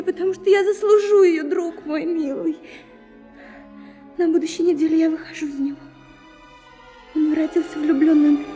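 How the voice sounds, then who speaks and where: An actress playing a tender young woman in love, pleading emotionally in a literary radio drama. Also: extremely high pitch. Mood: tender, imploring. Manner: emotional, imploring, hushed at moments. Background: music behind the voice.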